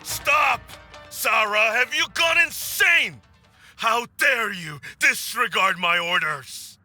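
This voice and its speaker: Grandiose fanatical clan lord. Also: male voice, expressive, slow, sharp tone, soft background music, full character voice. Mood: furious. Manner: imperious, grandiose, booming. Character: proud, fanatical middle-aged clan lord. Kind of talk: video game voice acting.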